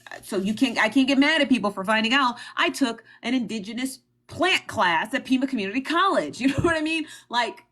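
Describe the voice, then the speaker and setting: A woman's voice: mid-range voice, fairly bright tone. A woman with a Midwestern accent hosting a live stream, talking emphatically and directly to her viewers and repeating her points. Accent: Midwestern American. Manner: emphatic, repetitive, talks straight to her viewers. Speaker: livestream host. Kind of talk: casual livestream commentary.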